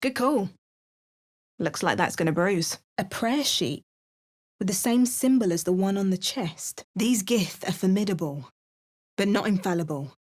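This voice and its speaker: An actress voicing a wry, bookish adventuring heroine with a British accent, speaking game voice lines and spell words. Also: female voice, medium pitch, expressive, unhurried. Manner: dry, wry remarks and chanted spell words. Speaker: player character voice lines.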